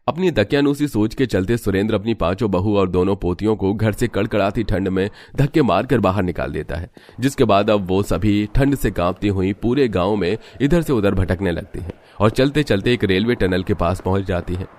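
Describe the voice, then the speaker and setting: Casting: male narrator for cartoon tales, lowish pitch, dramatic scripted delivery. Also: brisk, few pauses, a little mellow.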